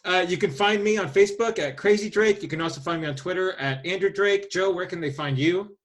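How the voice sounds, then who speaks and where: A man's voice: high-pitched, a little fast. A man hosting a podcast in casual group conversation. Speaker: podcast host.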